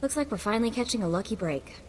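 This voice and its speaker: An actress playing a wry, flippant bounty hunter's sidekick in a video game, with casual wisecracking delivery. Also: female voice, fairly high pitch, animated, fast talker, slight background noise, close to an everyday voice, poor audio.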